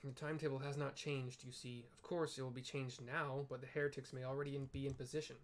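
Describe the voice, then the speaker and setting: A man's voice: medium pitch, little pitch movement, quick pace, lightly acted. A man reading aloud the lines of a stern noble commander of knights in a game, in a formal, grave manner.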